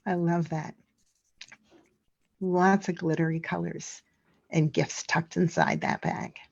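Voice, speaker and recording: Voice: medium pitch, level tone, takes it slowly, creaky, dull audio. A co-host chatting casually and admiring the drawing as it is made. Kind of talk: casual chat.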